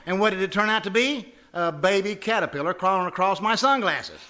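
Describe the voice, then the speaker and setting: A man's voice: high voice, lively intonation, a little fast, noisy background. A man playing a stubborn, cocksure husband in a 1950s-style radio comedy, folksy, emphatic and scoffing. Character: blustering, stubborn, cocksure husband full of malapropisms. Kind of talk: 1950s radio comedy acting, live re-enactment.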